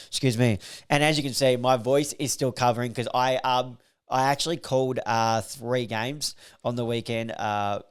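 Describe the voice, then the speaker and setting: A man, slightly croaky from a recovering voice, hosting a casual solo podcast and reeling off football stats with lots of fillers. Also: medium pitch, fairly even intonation, measured pace. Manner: rambling, filler-heavy, reels off stats. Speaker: podcast host reviewing football.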